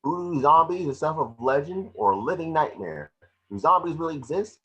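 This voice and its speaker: Man playing animated, husky tabloid TV host. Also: fairly high pitch, normal speed, dull audio. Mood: sensational. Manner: dramatic, presenterly delivery. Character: earnest tabloid TV talk show host. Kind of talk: table read acting.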